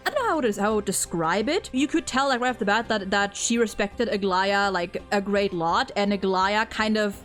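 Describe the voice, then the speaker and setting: A woman in a casual chat reviewing a game story, talking in an animated, rambling way with many stammered repeats. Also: medium pitch, normal speed, few pauses, faint music behind. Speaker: streamer and video co-host.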